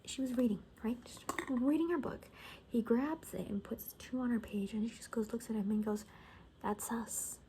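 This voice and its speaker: Soft mellow lady doing gossipy asmr roleplay, rambly n wry, slips into whispers, bit of noise behind her. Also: a little high, moderate pace. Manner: casual, rambling, wry, often dropping into whispers. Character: gossipy female bunker survivor in a zombie apocalypse. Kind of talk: soft-spoken ASMR, improvised gossipy storytelling.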